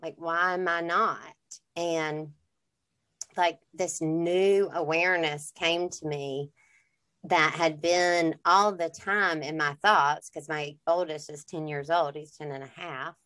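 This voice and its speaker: A woman's voice: sits low, fairly even intonation, takes it slowly, fairly bright tone. A woman in her thirties talking in a reflective, candid way in a casual conversation.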